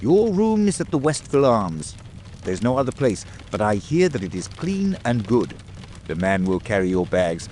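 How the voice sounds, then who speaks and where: A man's voice: fairly low pitch, sing-song, normal speed, darkish tone, a little hiss or hum. A man voicing a genial, eager provincial police detective in an audiobook drama, with a hearty, chatty manner. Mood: excited.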